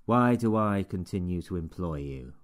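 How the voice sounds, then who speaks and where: A man's voice: mid-range voice, sing-song, normal speed, a little mellow, voice pushed up. A man doing a sour, sneering shop boss. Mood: contemptuous. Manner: sneering, sarcastic, bossy. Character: sour, contemptuous older male barber-shop boss.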